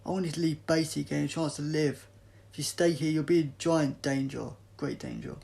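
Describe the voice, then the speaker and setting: Gravelly, commanding heroic doctor read by a man. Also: a little high, fairly even intonation, brisk, a little mellow, pitched above the performer's own voice. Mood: urgent warning. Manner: emphatic, commanding. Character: urgent, exasperated heroic male alien doctor.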